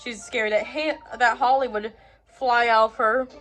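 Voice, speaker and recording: A woman's voice: a little high, fairly even intonation, a little slow, a bit bright, soft background music. A woman narrating a children's story she wrote herself, reading it aloud.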